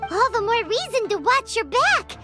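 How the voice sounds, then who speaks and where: Tiny chirpy fairy kid for a video game, super high n bouncy all over, loud music. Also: female voice, sing-song, normal speed. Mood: alarmed, excitable. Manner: chirpy, exclamatory. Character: small childlike excitable floating fairy companion.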